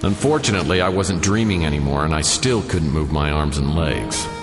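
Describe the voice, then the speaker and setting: An actor playing a wisecracking hard-boiled detective who narrates in a dry, sardonic noir style. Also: male voice, a bass voice, brisk. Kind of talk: noir radio drama narration. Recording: reverberant with music behind.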